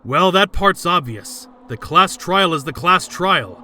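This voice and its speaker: A man's voice: sits high, lively intonation, a little slow, bright, forceful tone, slight background noise, heavily acted. A man voicing an arrogant, coldly logical elite student with haughty, clipped delivery in an anime fandub. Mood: cold, matter-of-fact.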